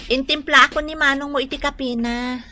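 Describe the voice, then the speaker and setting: A woman's voice: sits high, steady tone, a little fast, bright, forceful tone. A woman playing a sweet, doting, domestic sweetheart in an Ilocano radio comedy drama, coy and affectionate.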